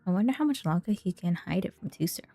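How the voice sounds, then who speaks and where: A woman's voice: lowish voice, animated, fast, dark tone, no echo. A woman streaming a game, casually commenting and reading dialogue aloud as she plays. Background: faint game music.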